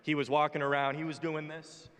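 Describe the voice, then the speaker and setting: A man's voice: a little high, flat delivery, brisk, a bit bright. Young man, twenties, opinionated panel talk, wisecracking, big echoing hall. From United States. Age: 20s. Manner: brash, joking, opinionated. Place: large conference hall stage. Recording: reverberant hall.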